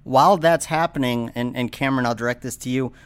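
A man hosting a workplace podcast, with a loud voice and an upbeat way of steering the chat. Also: medium pitch, fast talker, smooth. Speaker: podcast host.

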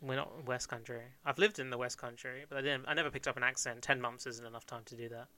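A man's voice: medium pitch, steady tone, quick pace, bright, forceful tone. A man in his twenties from Kent, co-hosting a podcast in casual, joking chat and laughing often. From Kent, England. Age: 20s. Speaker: podcast co-host.

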